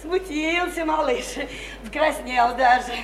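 Brash, flirty working-class widow, very high voice, slangy chatter, radio drama in an echoey room. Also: female voice, a little slow, a little hiss or hum. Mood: brash, boozy. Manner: flirty, teasing, folksy and slangy. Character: brash, boozy, chattering widowed house painter, a woman. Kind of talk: theatrical radio play acting.

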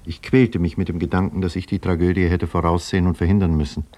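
An actor playing a sober male scientist and doctor who narrates his own story in a measured, grave, explanatory manner, 1950s radio drama style. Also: low-pitched, flat delivery, a little mellow, close to an everyday voice.

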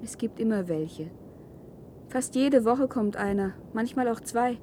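An actress playing a calm, earnest woman living free in a wasteland, in a 1970s German radio play. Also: fairly high pitch, measured pace, a little hiss or hum, lightly acted. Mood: calm, reassuring.

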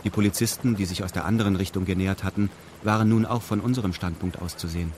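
A man's voice: sits low, fast, a little mellow, a little hiss or hum. A man narrating a radio drama in a formal, matter-of-fact, detached way. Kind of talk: scripted radio drama narration in first person.

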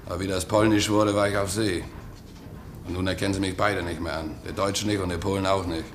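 A man acts a disillusioned ship's trimmer in a radio play. The voice is very low, with terse, resigned, colloquial phrasing. Faint noise and ambience lie behind it. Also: fairly even intonation, a little fast, lightly acted. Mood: weary, matter-of-fact. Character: weary, disillusioned stateless male ship's trimmer. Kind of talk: German radio play acting.

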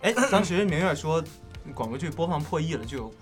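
A man's voice: fairly high pitch, animated, fairly quick. A man chatting casually in a group cast talk, playfully teasing the others. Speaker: cast member of an audio drama. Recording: background music under the voice. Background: music.

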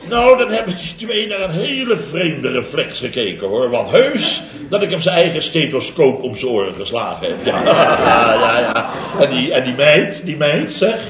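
A man's voice: medium pitch, big pitch swings, moderate pace, hardly any pauses, crowd noise, natural voice. An actor playing a pompous, self-important middle-aged construction company boss who chuckles through his own boastful stories, in 1960s radio comedy style. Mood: smug. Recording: dull roomy old radio recording.

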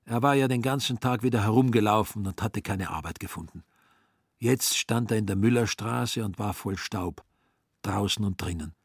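A man reads scripted narration for a literary radio play. His voice is slightly low and quite husky, suited to prose storytelling. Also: normal speed, no room sound, clean studio sound.

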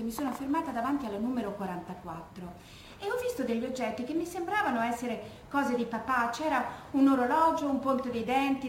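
An actress playing an educated, composed woman giving measured testimony about grief for her father in a theatre monologue. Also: sits high. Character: educated daughter recalling her father's wartime death, composed, grieving. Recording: echoey room with background noise.

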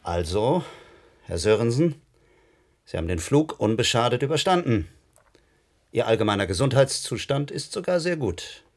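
An actor in a German radio play, playing a reasonable, concerned doctor who speaks in a courteous, formal way. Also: male voice, medium pitch, big pitch swings, moderate pace, long pauses.

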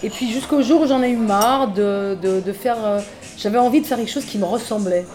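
A woman's voice: a little high, brisk, faint music behind. Chatty middle-aged woman musician. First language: French. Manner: relaxed, chatty, many fillers. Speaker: musician guest. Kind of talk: casual interview conversation.

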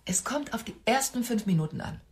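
An actress playing a practical, bossy woman friend in a radio drama, giving brisk, critical instructions. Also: a little high, lively intonation, natural voice. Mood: brisk, exasperated.

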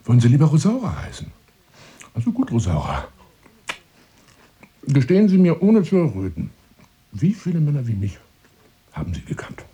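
An actor playing a pompous, lonely hunter seducing a woman in a radio drama monologue, grandiloquent and insinuating, moving between hushed and declaimed phrases. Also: medium pitch, huge pitch swings, moderate pace, pauses often, breathy edge, muffled recording, exaggerated voice. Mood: insistent, teasing.

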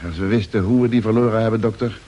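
A man's voice: on the low side, steady tone, rapid-fire, dark tone, lo-fi sound, natural voice. Man playing a matter-of-fact space crewman. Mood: assured. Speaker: actor in a radio drama. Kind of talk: Dutch radio play acting, mid-century. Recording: noisy old radio drama recording.

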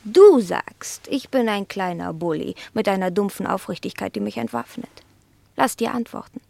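An actress playing a haughty, cruel, jealous cat in a radio play, mocking and theatrical, often breathy, with a husky, creaky voice. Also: female voice, mid-range voice, lively intonation, normal speed, a little mellow, no echo. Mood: disdainful. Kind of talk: literary radio play acting.

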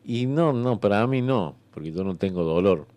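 A man plays a laid-back celestial bureaucrat with an Argentine accent in a comic radio play. His low voice is smooth and recorded dry. He hesitates and rambles colloquially, dodging any firm answer. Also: expressive, normal speed, darkish tone, no echo, close to an everyday voice. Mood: evasive, easygoing. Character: easygoing, evasive celestial clerk at eternity's front desk.

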